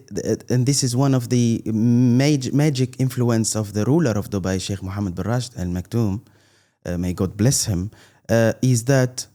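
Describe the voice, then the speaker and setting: A man's voice: mid-range voice, warm tone. A middle-aged man from Tunisia, a guest in an interview, talking earnestly with many restarts and an emphatic "believe me". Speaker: guest, content creator.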